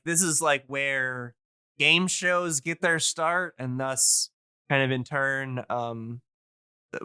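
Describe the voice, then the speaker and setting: A man's voice: medium pitch, sharp tone, clean tone, dry recording, clean studio sound. A man hosting a podcast, explaining things casually in a rambling, hesitant but enthusiastic way. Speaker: podcast host.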